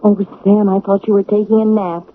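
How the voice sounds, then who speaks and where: An actress in a radio drama playing a nosy, wistful older wife, coaxing and chatty but meek. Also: female voice, mid-range voice, level tone, a little fast, phone-quality sound, close to an everyday voice. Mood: coy, curious. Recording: thin, noisy vintage radio recording.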